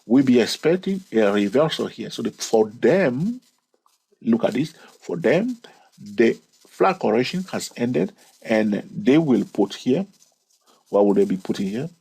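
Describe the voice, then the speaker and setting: A man's voice: medium pitch, big pitch swings, measured pace, a little mellow, breathy edge, poor audio. A man hosting his channel, walking through a chart lesson, stretching key words and repeating counts. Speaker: trading educator and channel host. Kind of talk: screen-recorded chart lesson.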